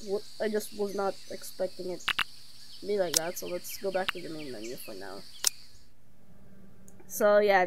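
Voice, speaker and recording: Young woman, casual game commentary, mid-pitched voice, slightly noisy home stream. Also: darkish tone. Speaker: streamer playing a horror visual novel. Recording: noisy home recording with insect-like hiss.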